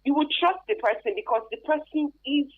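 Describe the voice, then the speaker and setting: Nigerian lady being interviewed on radio, really lively pitch, emphatic explainer, sounds like a phone line. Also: fairly quick. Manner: emphatic, explanatory, uses Nigerian Pidgin tags. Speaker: guest expert on human trafficking. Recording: thin phone-like band-limited radio sound.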